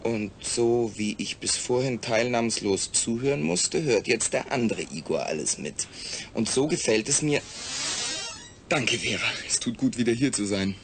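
A man acting in a German audio drama as a calm, rational astronaut, speaking in a measured, explanatory way. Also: medium pitch, slight background noise. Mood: relieved, reflective.

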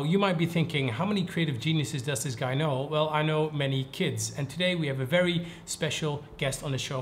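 A man's voice: a little high, slight background noise. Upbeat Dutch man hosting. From Netherlands. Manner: upbeat, playful, teasing. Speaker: host. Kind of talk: casual interview. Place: indoor room with wooden wall.

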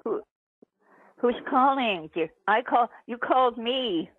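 A woman's voice: a little high, lively intonation, slow, husky, dry recording. Older woman on a tinny phone line. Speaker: prank call recipient. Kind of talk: unscripted phone conversation. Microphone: telephone.

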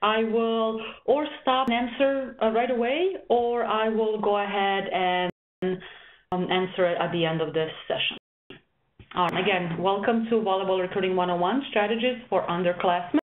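A woman's voice: mid-range voice, fairly even intonation, normal speed, slight background noise. A young woman from Serbia, a volleyball coach, giving a webinar presentation with many ums and restarts. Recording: tinny webinar audio, echoey room.

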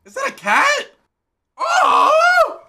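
A young man in his twenties, a streamer giving crude, loud, excitable commentary while playing a game, talking into a headset in his bedroom. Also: extremely high pitch, extremely slow, heavy background noise. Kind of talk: crude live gaming commentary. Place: pink-lit bedroom, indoors.

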